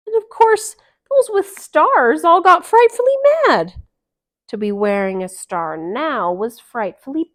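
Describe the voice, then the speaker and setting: A woman reading a rhyming bedtime story aloud to children, expressively, with dramatic emphasis and hushed line endings. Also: fairly high pitch.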